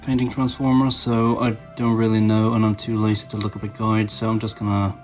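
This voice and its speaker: A man's voice: lowish voice, little pitch movement, fairly quick, soft background music. A man giving informal, self-deprecating hobby commentary, thinking aloud as he works through a craft project. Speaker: toy customizer, channel host. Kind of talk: casual rambling hobby commentary while painting.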